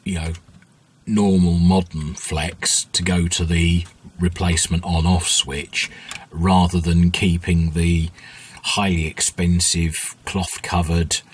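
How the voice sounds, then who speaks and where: A man with a low-pitched, rough-edged husky voice talks through a repair job informally. He sounds like a hobbyist at the bench, thinking aloud and feeling the way through each explanation without hurry. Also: level tone, slow pace. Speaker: hobbyist radio restorer, video host. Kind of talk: informal workbench tutorial, explaining as they go.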